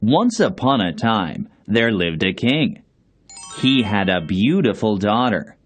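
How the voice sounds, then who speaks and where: Man narrator, children's fairy tale, fairly low voice, slow pace, expressive intonation. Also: soft background music. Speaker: storyteller.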